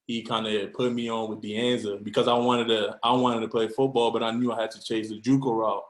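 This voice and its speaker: A man's voice: medium pitch, flat delivery, a little fast. A young man from Cameroon, a football player, chatting casually as a podcast guest with lots of fillers and restarts. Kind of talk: casual interview. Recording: roomy echo.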